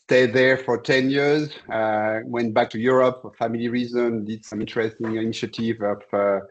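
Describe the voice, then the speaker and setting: A man in his sixties from Senegal, a commodity trader and interview guest, telling his career story in a measured, halting way over a video call. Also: mid-range voice, little pitch movement, muffled recording. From born in Senegal. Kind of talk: conversational career account.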